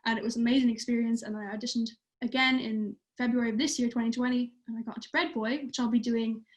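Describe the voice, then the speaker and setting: Teen girl, higher voice, chatty on a livestream, lots of likes and ums. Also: fairly even intonation, fast. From Ireland. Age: teens. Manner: chatty, many fillers like "like" and "uh". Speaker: youth theatre participant. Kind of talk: casual livestream chat.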